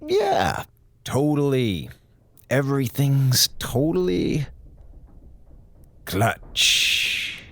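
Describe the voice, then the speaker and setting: A man's voice: mid-range voice, very animated, extremely slow, gravelly, pauses often, a bit bright, dry recording, exaggerated voice. A man playing an over-eager, evasive space station officer in an audio drama, rambling and stammering with theatrical casualness. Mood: falsely casual, nervous.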